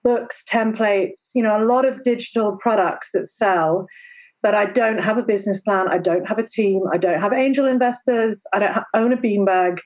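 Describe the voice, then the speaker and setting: Lively, joking woman podcast guest. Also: mid-range voice, moderate pace. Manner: lively, self-deprecating, chatty with fillers. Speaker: guest, entrepreneur. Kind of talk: casual interview answers.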